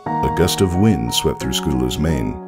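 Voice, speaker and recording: A man reading scripted story narration with dramatic, measured phrasing. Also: very deep voice, expressive, over loud music. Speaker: fan fiction narrator.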